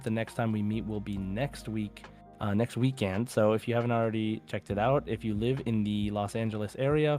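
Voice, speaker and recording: A man's voice: medium pitch, warm tone. A man hosting a podcast in a casual, informal way, addressing listeners as guys. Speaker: podcast host.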